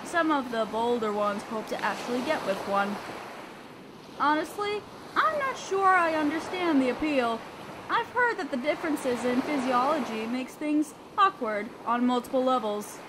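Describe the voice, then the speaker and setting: A young female selkie, acted by a woman in a fantasy audio roleplay, with a fairly high and very animated voice. She sounds guarded and teasing, with a hesitant curiosity under the aloofness. Surf and wind are clearly heard behind her. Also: normal speed. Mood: wary, curious. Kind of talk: scripted roleplay audio drama addressed to the listener. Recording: ocean waves and wind behind voice. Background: surf, waves and wind sound effects.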